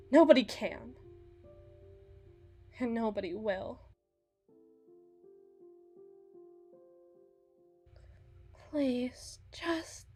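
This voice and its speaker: Actress as bitter, lovesick bride for audio roleplay; high female voice, unhurried, theatrical and emotional, faint music underneath. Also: heavily acted. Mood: defiant, pleading. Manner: theatrical, emotional, sighing, drawn-out delivery. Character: desperate, bitter young runaway bride, lovesick and obsessive. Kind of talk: ASMR roleplay monologue, dramatic acting.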